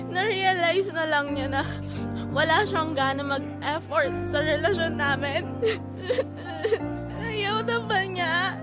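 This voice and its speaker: A woman acts out a hurt young woman in an audio drama, with a very high voice. She talks casually, as if confiding in close friends about love. Loud music plays under her. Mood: tearful, frustrated. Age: young adult.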